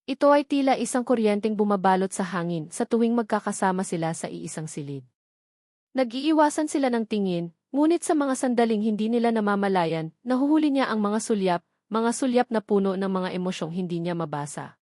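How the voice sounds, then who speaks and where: A woman's voice: mid-range voice, lively intonation, fairly quick, a little mellow, no room sound, clean studio sound. A woman with a Filipino accent reading a dramatic scripted story as an audiobook narrator.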